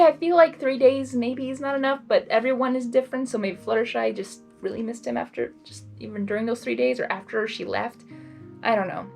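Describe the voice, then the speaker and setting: A woman reads a story aloud and adds expressive, rambling casual reactions. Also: on the high side. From Hungary. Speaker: fan fiction reader and commentator. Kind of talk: blind reading with casual reaction commentary.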